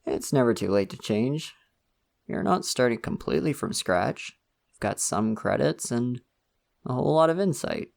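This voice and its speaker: A man's voice: mid-range voice, expressive, normal speed, creaky. A young man voicing a friendly, slightly shy college student in an ASMR roleplay, talking casually and laughing often. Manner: casual, laughs often, soft-spoken and chatty.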